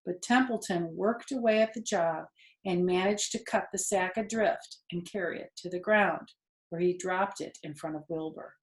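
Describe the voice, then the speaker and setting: A woman reading a children's story aloud expressively, pausing to explain things to young listeners. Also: lowish voice, darkish tone. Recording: roomy indoor echo.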